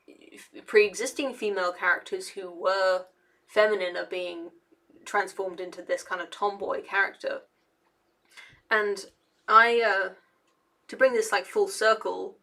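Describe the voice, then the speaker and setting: A young woman talking casually to camera, with a gravelly edge and a fairly flat, level delivery. She rambles and hesitates, restarting her sentences and leaning on fillers as she works through her thoughts. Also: medium pitch. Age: young adult. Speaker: engineer and video essayist.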